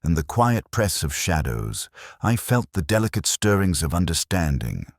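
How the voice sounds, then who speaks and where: A man playing a pensive, observant detective who narrates his case in a measured, literary, contemplative way. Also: sits low, big pitch swings, no echo, clean studio sound, full character voice. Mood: pensive, quietly grave. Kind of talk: scripted first-person detective narration.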